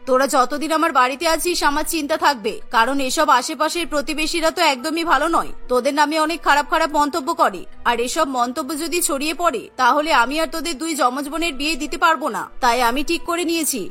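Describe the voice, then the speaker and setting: A cartoon mother of middle age, acted out by a woman, anxious and stern. She lectures in a bright, forceful tone, with music underneath. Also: sits high, fast, hardly any pauses, voice pushed down. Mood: worried scolding. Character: anxious scolding middle-aged mother of twin daughters.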